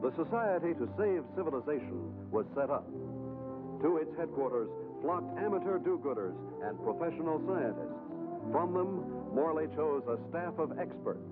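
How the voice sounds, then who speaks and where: Urgent newsreel narrator, American, solemn and formal, slightly high voice, thin vintage film audio. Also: male voice, very animated, moderate pace. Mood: brisk, declarative. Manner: solemn, dramatic, formal delivery. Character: grave, urgent male newsreel announcer. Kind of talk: 1950s newsreel scripted narration. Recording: tinny, band-limited old film soundtrack. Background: loud newsreel music.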